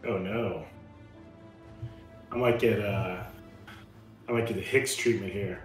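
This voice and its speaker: A man's voice: fairly low pitch, fairly even intonation, slow. Man in echoey room, playing a game. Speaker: player in a tabletop game. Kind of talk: casual game chat. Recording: echoey room, music underneath.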